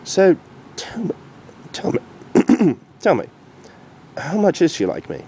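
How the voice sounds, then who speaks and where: A man voicing a puzzled, earnest male Time Lord in an amateur cartoon fan-fiction reading, questioning and halting. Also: high-pitched, wildly swooping pitch, mellow, a little hiss or hum, voice pushed up, exaggerated voice.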